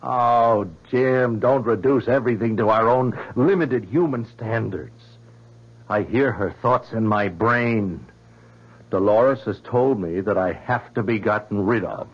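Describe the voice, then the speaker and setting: An actor playing a troubled, obsessive scientist in a 1970s radio drama, speaking in a halting, insistent and defensive way. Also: male voice, on the low side, slightly rough. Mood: grave. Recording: muffled vintage radio broadcast.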